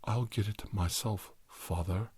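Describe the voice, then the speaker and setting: A man's voice: fairly low pitch, a little slow, darkish tone, husky, dry recording. A man voicing a grave, ceremonious alien-hybrid child who makes prophetic pronouncements in a comic monologue. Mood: solemn, ominous. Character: solemn prophetic alien-hybrid child addressing his earth father.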